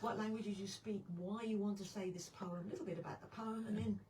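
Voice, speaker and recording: A woman's voice: medium pitch, fairly even intonation, brisk, darkish tone, husky. A woman, a poetry event organiser, explaining details to a radio host in a friendly way, often laughing as she talks. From Australia. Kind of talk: radio interview. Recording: off-mic with faint room noise.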